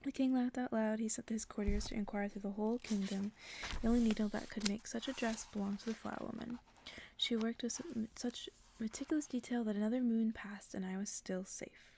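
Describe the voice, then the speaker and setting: A woman reading a story aloud as ASMR in an intimate, hushed whisper. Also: fairly high pitch, steady tone, brisk, slight background noise. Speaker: ASMR reader.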